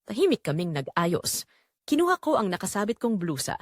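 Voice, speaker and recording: A woman's voice: mid-range voice, big pitch swings, brisk, dry recording, clean studio sound. A woman with a Filipino accent playing a tender, long-neglected middle-aged wife and mother, narrating her own romantic audio drama in an introspective, confessional way. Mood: reflective, moved.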